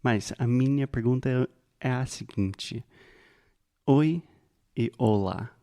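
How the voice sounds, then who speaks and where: A man co-hosting a language podcast, a learner himself, who asks measured questions and slows down on key phrases. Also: medium pitch, gravelly, mellow. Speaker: podcast co-host and Portuguese learner. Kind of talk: casual teaching chat.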